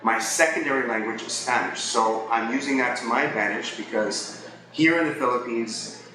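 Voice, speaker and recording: A man's voice: mid-range voice, moderate pace. Man vlogging in a very echoey space. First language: English. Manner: explanatory, conversational. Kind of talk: casual advice talk to viewers. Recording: echoey space with crowd chatter behind.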